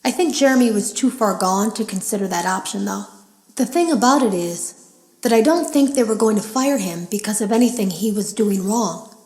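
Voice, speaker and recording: An actress playing an uneasy female game tester, confiding in a measured, serious way in scripted audio logs for a horror game. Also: mid-range voice, animated, a little mellow, echoey room, natural voice, low-quality recording. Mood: bitter, knowing.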